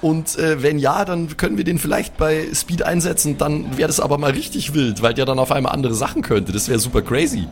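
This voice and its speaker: A man's voice: a little high, expressive, fairly quick, few pauses, faint music behind. A man chatting casually as a player in an improvised tabletop game. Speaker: player in tabletop audio play.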